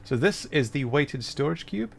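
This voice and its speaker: A man's voice: a little high, animated, normal speed, vocal fry, a little hiss or hum. A man livestreaming a game, chatting informally to viewers and explaining as he plays. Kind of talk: casual livestream commentary with chat.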